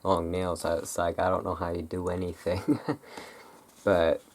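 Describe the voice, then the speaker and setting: An ASMR creator chatting casually and unscripted, in a halting, rambling way that often breaks off mid-thought. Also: low voice, flat delivery. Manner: halting, rambling, self-interrupting.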